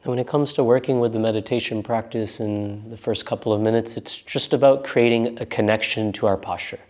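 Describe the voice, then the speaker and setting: A man guiding a meditation as a teacher, speaking in a gentle, unforced and reassuring manner. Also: lowish voice, fairly even intonation, fairly quick. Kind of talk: guided meditation instruction.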